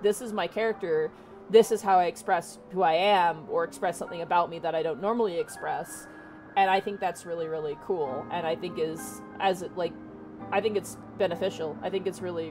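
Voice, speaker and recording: A woman with a naturally low voice, rambling casually with lots of fillers while streaming. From Canada. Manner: rambling, many fillers and restarts. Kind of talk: casual chat on a livestream.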